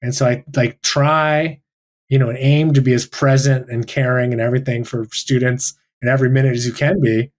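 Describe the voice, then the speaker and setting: A male teacher sharing personal reflections in a thoughtful, halting way with many restarts, over a video call. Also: mid-range voice, little pitch movement.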